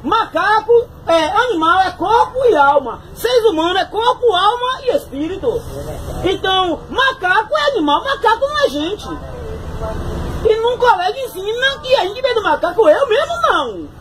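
Bahian street preacher guy, super high voice, fervent, shouting, phone recording full of crowd and traffic. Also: very animated, normal speed, low-quality recording. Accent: Bahian Brazilian Portuguese. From Bahia, Brazil. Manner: fervent, shouting bursts, repeats words. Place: city street and inside a bus. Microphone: handheld phone camera.

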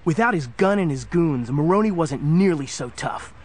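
An actor playing an earnest, youthful superhero sidekick, narrating a children's audio drama. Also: male voice, fairly high pitch, expressive, moderate pace, background noise, lightly acted. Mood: earnest storytelling. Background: music.

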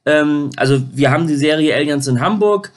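A man in his thirties rambling through an informal phone interview, halting and repeating his words, recorded indoors at home. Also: a little high. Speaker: YouTuber making a phone call on camera.

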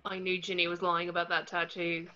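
A woman's voice: on the low side, monotone, fairly quick, bright, forceful tone. A woman playing a cheeky young male wizard prankster, flippant and teasing, in a table read over a muffled video call.

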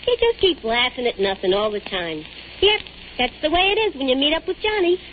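A woman's voice: sits high, very animated, fairly quick. An actress playing a breezy, slangy, boy-crazy working-girl best friend in 1940s radio comedy. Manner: slangy, wisecracking. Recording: tinny old radio recording with hiss.

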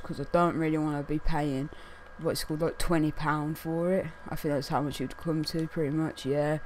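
A young man with a croaky, hoarse voice, chatting casually to camera as a gaming video host and rambling with lots of fillers. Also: on the high side, a little mellow, a little hiss or hum. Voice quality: croaky, losing his voice. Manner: rambling, many fillers like "what's it called". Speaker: gaming YouTuber.

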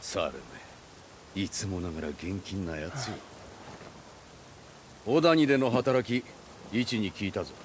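An actor playing a commanding, ambitious samurai warlord in a dramatic video game, speaking in a stately, archaic, authoritative way. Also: male voice, lowish voice, expressive, measured pace, pauses often, noisy background. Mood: musing. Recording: music behind.

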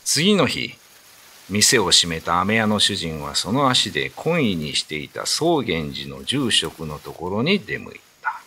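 A man's voice: sits low, big pitch swings, unhurried, pushed and bright, husky. A man narrating a scripted ghost story as a dramatic storyteller. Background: background music.

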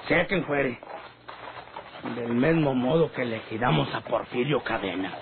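A man's voice: mid-range voice, unhurried, creaky. An actor playing a rustic Mexican hired gunman, telling things emphatically in an old radio drama. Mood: assuring. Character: rustic hired gunman recounting his wounds. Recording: noisy, tinny old radio recording.

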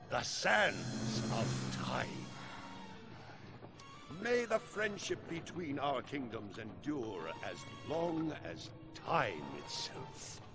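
Imperious warrior king, regal and theatrical, fantasy game, loud music underneath. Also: exaggerated voice. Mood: ceremonial. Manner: regal, booming proclamations, measured. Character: proud, imperious middle-aged Persian warrior king. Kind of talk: dramatic fantasy game acting. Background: loud dramatic music.

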